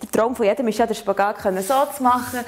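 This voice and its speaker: A woman's voice: fairly high pitch, steady tone, quick pace. A woman with a Swiss accent who patiently talks a learner through stretching and back exercises. She often tags her sentences with a questioning "oder?". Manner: patient, encouraging, ends phrases with "oder?". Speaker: fitness instructor. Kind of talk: guiding exercises step by step.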